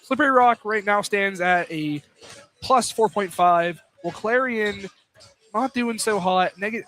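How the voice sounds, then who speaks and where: A man's voice: sits high, measured pace, pushed and bright. A young American man doing casual live sports commentary on radio, informal, often repeating and correcting himself. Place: live courtside broadcast in a college field house.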